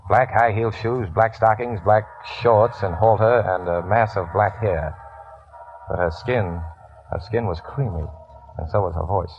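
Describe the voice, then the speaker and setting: Man acting a low-voiced wisecracking drifter, old radio. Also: lightly acted. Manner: wry, flippant. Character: wisecracking, cocky male drifter and gambler narrating his tale. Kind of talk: 1950s radio drama acting, hardboiled first-person narration. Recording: muffled, noisy old radio recording.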